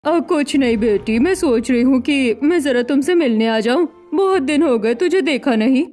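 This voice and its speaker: A woman's voice: high-pitched, expressive, moderate pace, a little mellow, faint music behind. A woman voicing a scheming, suspicious middle-aged mother in a dramatized Hindi story. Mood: feigned politeness.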